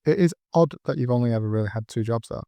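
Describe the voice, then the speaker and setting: A man's voice: medium pitch, quick pace, vocal fry, warm tone, no room sound. A man in his forties hosting a casual interview, telling rambling anecdotes with plenty of ums. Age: 40s. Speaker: podcast host.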